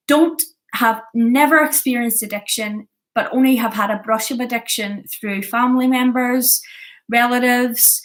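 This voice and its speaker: A woman in her early thirties with a Belfast accent, candidly and earnestly telling her personal story, stressing points by repeating them. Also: on the high side. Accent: Belfast Northern Irish. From Belfast, Northern Ireland. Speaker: vlogger sharing a personal addiction story. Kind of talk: confessional personal storytelling, partly from notes.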